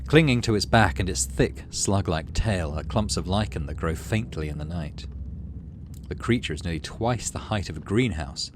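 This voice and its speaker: A man's voice: low voice, animated, moderate pace, background noise. A man narrating a visual novel dramatically, with casual asides as a gaming channel host. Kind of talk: casual let's play commentary between readings. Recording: game music behind the voice.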